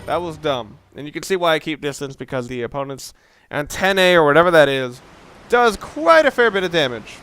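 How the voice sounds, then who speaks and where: A man's voice: fairly high pitch, very animated, fairly quick, a bit bright, smooth. A young man giving casual, rambling commentary over a video game, often breaking off mid-sentence and swearing now and then. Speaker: let's play commentator.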